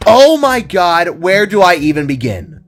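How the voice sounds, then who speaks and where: A man voicing an excitable, rambling young female field reporter in an audio drama, with emphatic delivery. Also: high voice, unhurried, faint music behind, pitched above the performer's own voice, exaggerated voice. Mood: excited, indignant.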